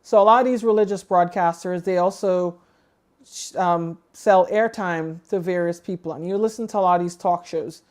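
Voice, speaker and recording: A woman's voice: fairly low pitch, moderate pace, darkish tone. A woman co-hosting a livestream, giving casual news commentary in a conversational, explanatory way, thinking aloud as she goes. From outside the United States. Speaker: co-host of a ham radio livestream.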